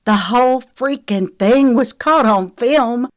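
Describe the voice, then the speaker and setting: Narrator as a furious young woman, raised high voice. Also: slow pace, no room sound, clean studio sound. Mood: defiant, outraged. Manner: shouting and screaming, defiant. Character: furious, terrified young woman fighting back. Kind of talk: audiobook character acting.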